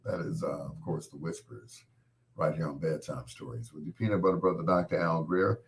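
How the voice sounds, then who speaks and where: A middle-aged man with a cold-roughened, hoarse voice, hosting a late-night radio show in a rambling, folksy way and chuckling at his own corny jokes. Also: extremely low pitch, fairly even intonation, moderate pace.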